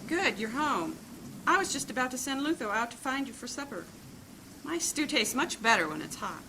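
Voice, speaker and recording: A woman acting a caring, worried wife and mother in a fantasy audio drama, motherly, fussing and expressive. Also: high-pitched, normal speed, noisy background. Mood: homely, fussing. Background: music.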